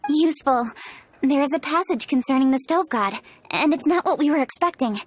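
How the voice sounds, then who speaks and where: A woman's voice: high-pitched, expressive, a little fast. A woman voicing a poised, businesslike young noblewoman official in a video game, formal and articulate. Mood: polite. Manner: formal, articulate, matter-of-fact.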